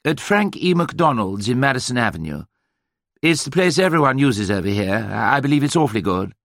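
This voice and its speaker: A man's voice: medium pitch, big pitch swings, a little fast, a bit bright, no echo, clean studio sound, voice pushed up. A man voicing a strained, drawling upper-class Englishman bearing bad news in a mannered, gushing way. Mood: solemn, gushing.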